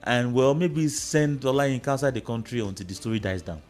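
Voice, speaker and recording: A man's voice: medium pitch, animated, fairly quick, a little mellow, faint music behind, natural voice. A man playing a blustering middle-aged uncle afraid of shame in a radio drama, emphatic and repetitive, with a Nigerian accent. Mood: alarmed.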